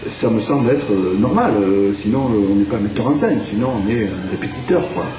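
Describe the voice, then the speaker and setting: A man's voice: on the low side, expressive, a little fast. A man from France, a theatre director, talking in a radio interview with many fillers and restarts. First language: French. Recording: distant, echoey room with faint hiss.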